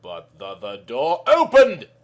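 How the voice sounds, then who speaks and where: A man's voice: medium pitch, huge pitch swings, slow. A man narrating a storybook tale in a naive, childlike manner, with mangled words.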